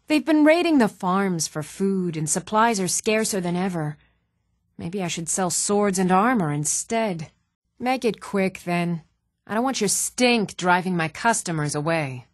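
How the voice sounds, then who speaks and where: An actress playing an even-toned townswoman in a fantasy video game, speaking scripted lines in a measured, matter-of-fact way. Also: lowish voice, dry recording, studio quality, lightly acted. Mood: worried, plain, then curt, annoyed.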